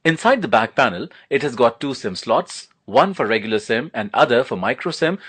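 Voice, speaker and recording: A man's voice: mid-range voice, lively intonation, a little fast, fairly bright tone, breathy edge. A man narrating a product unboxing and demo as a reviewer, in an enthusiastic, promotional manner.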